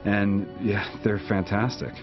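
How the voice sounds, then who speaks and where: Deep-voiced man, music behind. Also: steady tone, slow pace. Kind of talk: interview commentary. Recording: dull sound under clear music.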